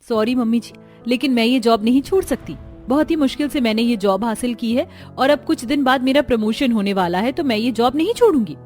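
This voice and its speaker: A woman's voice: on the high side, expressive, a little fast, a little mellow, natural voice. A woman voicing a defiant young working daughter-in-law in a cartoon family story, curt and insistent. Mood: firm refusal. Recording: music behind the voice.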